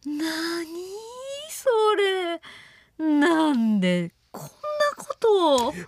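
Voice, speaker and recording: A high-voiced, frightened Kansai girl. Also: very animated, extremely slow, no echo, exaggerated voice. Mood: exasperated. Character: frightened, exasperated young woman fleeing zombies. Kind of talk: comedic radio drama acting.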